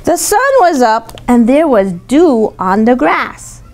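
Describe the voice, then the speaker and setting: A woman reading a picture book aloud to young children, pausing to ask questions and explain words simply. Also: a little high, expressive, darkish tone. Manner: explains simply, pointing things out. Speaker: storytime reader teaching young children.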